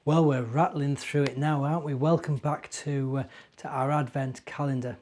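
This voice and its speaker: A man's voice: medium pitch, steady tone, normal speed, music in the background. A middle-aged man with a British accent, a minister telling stories to young children in a chatty way, with simple explanations and questions. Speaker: church minister and storyteller.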